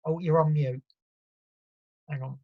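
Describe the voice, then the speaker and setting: A man's voice: fairly high pitch, fairly even intonation, slow, dry recording. A man informally chairing an online meeting over lo-fi video call audio. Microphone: video call microphone.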